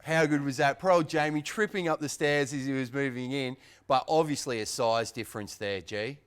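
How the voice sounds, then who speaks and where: A man's voice: mid-range voice, moderate pace, smooth. Upbeat man hosting boxing chat. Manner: upbeat, leads the rundown. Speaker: boxing show host. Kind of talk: casual sports chat.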